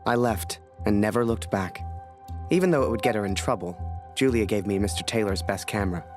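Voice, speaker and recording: A man's voice: lowish voice, brisk, natural voice. An actor playing a wistful young soldier-photographer who narrates his memories in a video game. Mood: reflective. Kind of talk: first-person game narration. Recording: music behind the voice.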